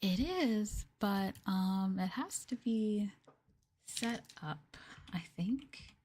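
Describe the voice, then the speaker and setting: Woman, ASMR streamer, soft breathy voice, frequent whispering, slow pace, gentle casual chat, close mic. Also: medium pitch, lively intonation. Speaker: ASMR livestream host. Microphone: close ASMR microphone.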